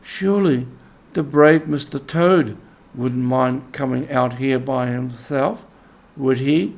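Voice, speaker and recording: A man's voice: mid-range voice, slow pace, creaky. A man voicing a small, timid, frightened mole in a storybook reading, with a feeble voice and a pleading manner. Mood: timid, questioning. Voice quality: feeble, thin voice.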